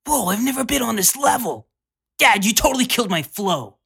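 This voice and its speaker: A woman voicing a flippant, sassy teenage girl with a husky voice. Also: fairly high pitch, big pitch swings, fairly quick, a bit bright, no room sound, clean studio sound, voice pushed up, heavily acted. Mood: annoyed.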